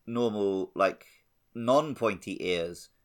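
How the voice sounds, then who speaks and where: A man's voice: fairly low pitch, slow pace, clean tone. A man co-hosting a podcast, chatting casually with a wry, conversational manner. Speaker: podcast co-host.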